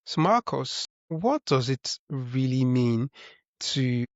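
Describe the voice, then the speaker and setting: A man hosting a podcast, asking interview questions in a measured, encouraging way. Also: medium pitch, animated, dry recording. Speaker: podcast host. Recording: slightly dull, lo-fi sound.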